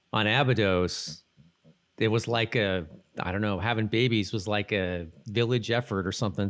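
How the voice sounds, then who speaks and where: Low-voiced man chatting loosely. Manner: loose, conversational, thinking aloud. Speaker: podcast co-host. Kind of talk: casual chat recapping a TV episode.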